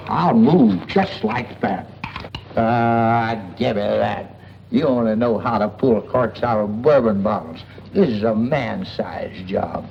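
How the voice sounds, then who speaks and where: A man's voice: medium pitch, creaky. A tipsy, middle-aged braggart in an old radio play. His folksy talk swoops up and down and stumbles over itself, all caught on a dull, noisy recording. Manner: folksy ungrammatical bragging, stammering. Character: tipsy, boastful male boarder, folksy and blustering. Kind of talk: 1940s radio acting.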